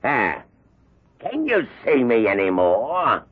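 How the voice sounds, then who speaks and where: A wicked ghostly nobleman in an old radio horror drama. His delivery is slow, theatrical and widely swinging in pitch, commanding and sinister. The sound is a dull vintage recording in deep echo. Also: huge pitch swings. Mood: gloating. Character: wicked, gloating ghost of an aristocratic old-world villain. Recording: echoey reverberant old radio recording, music behind.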